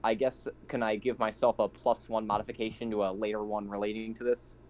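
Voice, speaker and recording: A man's voice: on the low side, level tone, fairly quick. A man playing a tabletop roleplaying game, chatting casually and thinking aloud hesitantly. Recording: noticeable background noise.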